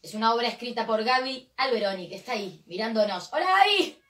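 Woman playing a bossy, animated Rioplatense clown. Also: fairly high pitch, fast. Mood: enthusiastic. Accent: Rioplatense Spanish. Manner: emphatic, exclamatory. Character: bossy, chatty, enthusiastic female clown. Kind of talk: live clown sketch. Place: indoors at a table, mic away from mouth. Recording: roomy distant mic, music behind. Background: music.

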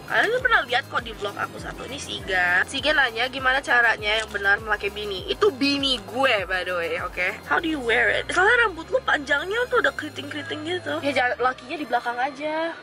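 A woman's voice: high-pitched, animated, brisk, hardly any pauses, music in the background. A young woman chatting casually as a vlogger, recorded on a handheld camera inside a car. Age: young adult. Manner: chatty, informal, slips between Indonesian and English. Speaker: beauty vlogger. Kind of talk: casual vlog chat. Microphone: handheld camera at arm's length.

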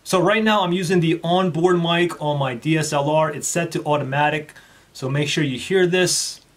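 A man in his thirties talking through a camera microphone test step by step, in a relaxed way with fillers, recorded indoors on a camera-mounted shotgun mic. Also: a little high, normal speed. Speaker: gear reviewer. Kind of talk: casual how-to walkthrough. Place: indoor room, desk demo.

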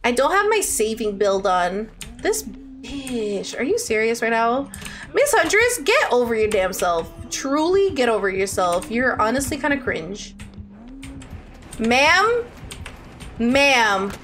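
High, bright, animated young woman streamer. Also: takes it slowly, faint music behind. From Ontario, Canada. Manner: animated and playful, with dramatic outbursts and gushing endearments. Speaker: live streamer. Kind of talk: chatty game livestream commentary, reading out viewer chat. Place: indoor room at a streaming setup.

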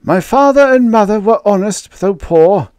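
A meek, tearful baker frets through his tale in a storybook verse reading, as a male reader puts him on. The voice sits well above the reader's own, wobbling high and wide in pitch, and the sound is close and dry. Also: animated, normal speed, darkish tone, clear, resonant tone, dry recording. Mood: plaintive. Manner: high, quavering, pleading, fretful. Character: timid, tearful middle-aged male baker.

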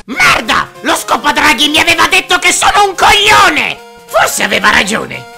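A cranky cartoon rival acted out by a performer with a creaky, gravelly voice. The delivery is exaggerated and blustering, over clear background music. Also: sits high, sing-song, normal speed, bright, forceful tone, exaggerated voice. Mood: angry. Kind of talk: comic cartoon dub.

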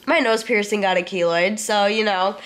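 A woman's voice: mid-range voice, moderate pace, fairly bright tone. Stuffy-nosed woman chatting on the couch. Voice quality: nasal, stuffy. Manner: rambling, chatty, swears casually. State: congested with a cold. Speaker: vlogger. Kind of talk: casual chat to camera. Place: living room, on a couch. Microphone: handheld camera close to the face.

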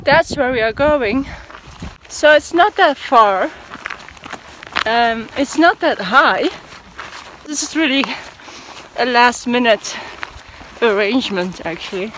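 A woman's voice: on the high side, lively intonation, slow, pauses often, a bit bright, slight background noise. Cheerful young woman vlogging on a trail. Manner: chatty, cheerful, laughs between remarks. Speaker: hiking vlogger. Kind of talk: casual vlog narration while hiking. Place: outdoors on a mountain trail. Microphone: handheld camera at arm's length.

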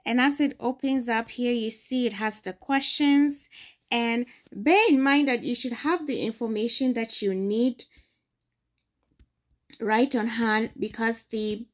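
A woman's voice: a little high, a little slow. A young adult woman from Ghana, hosting a screen-recorded phone tutorial and explaining each step patiently. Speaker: tutorial host.

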